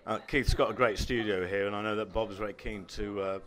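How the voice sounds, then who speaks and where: A man's voice: fairly low pitch, fairly even intonation, a little fast, a bit bright, slight background noise. A man interviewing guests, introducing each one formally and asking polite questions. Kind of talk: local interview.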